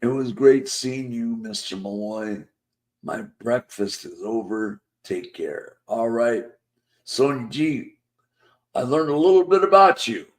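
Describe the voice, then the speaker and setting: A man's voice: mid-range voice, lively intonation, slow. A man hosting a casual live chat show, losing his voice, rambling and reading out viewer comments. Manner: rambling, chatty, reads viewer comments aloud. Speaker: host.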